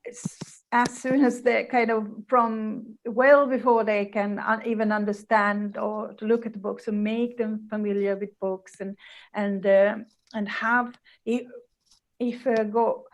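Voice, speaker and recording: A woman's voice: mid-range voice, steady tone, measured pace, rough recording. A middle-aged woman co-hosting a live video chat, talking with many restarts and hesitations. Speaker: co-host. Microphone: video call.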